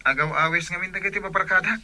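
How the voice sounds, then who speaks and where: A man's voice: high-pitched, steady tone, very fast, sharp tone, slight background noise. A man with an Ilocano Filipino accent playing a coaxing, evasive young boyfriend in a radio drama, casual in manner.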